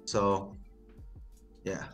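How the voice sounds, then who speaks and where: Halting man, non-native English, faint music. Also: a bit bright. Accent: slight Texan traces. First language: not English. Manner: hesitant, repeats words, laughs often. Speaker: crypto project CEO, guest. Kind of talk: casual interview chat.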